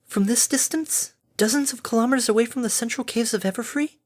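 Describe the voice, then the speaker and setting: A woman's voice: on the high side, brisk, darkish tone, pitched above the performer's own voice, close to an everyday voice. A woman voicing a timid, prim and anxious female pegasus pony in a storybook reading, with formal, fretful diction. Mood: flustered insistence. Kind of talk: animated audiobook character acting.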